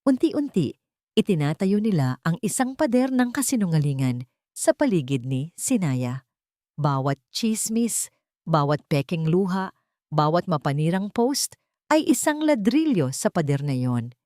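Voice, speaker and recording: Lower-pitched Filipino woman narrating a drama. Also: sing-song, measured pace, mellow, no room sound, studio quality. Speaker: story narrator. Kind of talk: scripted dramatic narration of a love story.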